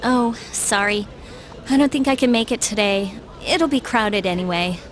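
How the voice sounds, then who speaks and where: A woman playing a withdrawn, preoccupied high school girl in a video game, talking casually and evasively. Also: moderate pace, lightly acted. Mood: downcast.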